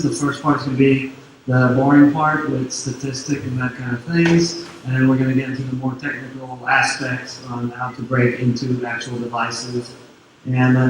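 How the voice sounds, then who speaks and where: Brief: French-Canadian male conference speaker, laid-back explaining, distant mic, booming hall. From Quebec, Canada. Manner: relaxed, filler-heavy explaining. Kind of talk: technical conference talk. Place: large conference hall on stage. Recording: echoey hall, distant mic, faint noise.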